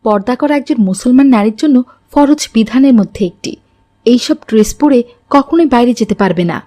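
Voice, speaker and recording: A woman's voice: a little high, lively intonation, brisk, warm tone, no room sound. A pious middle-aged mother from a moral-tale cartoon, acted by a woman. She is strict but caring, and delivers her advice like a lesson, preachy and admonishing. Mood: stern, pleading. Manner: preachy, instructive.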